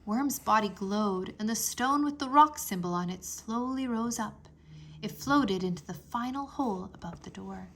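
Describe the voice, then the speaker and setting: A woman narrating a children's adventure book aloud with expressive, dramatic phrasing. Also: mid-range voice, moderate pace, a little hiss or hum. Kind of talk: children's storybook read-aloud.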